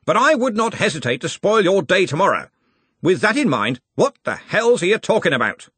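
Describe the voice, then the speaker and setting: A man reading an audiobook, voicing a blustering, stubborn old male wizard chancellor with a husky voice. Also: high-pitched, very animated, brisk, fairly bright tone, dry recording, pitched above the performer's own voice, full character voice. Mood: impatient.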